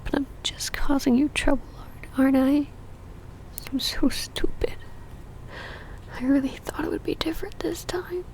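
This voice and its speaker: A woman playing a possessive, lovesick female vampire in an ASMR roleplay, whispering breathily in a halting, pleading and tender way. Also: sits high, darkish tone, natural voice, rough recording. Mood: tearful, apologetic.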